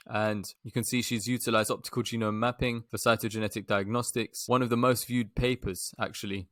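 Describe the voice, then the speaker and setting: A man with a fairly low voice and a flat, even delivery, recorded close and dry. He talks like an online investing host walking viewers through research news, explaining as he reads and dropping in informal asides. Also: no room sound. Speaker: stock investing video host. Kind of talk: informal research update commentary.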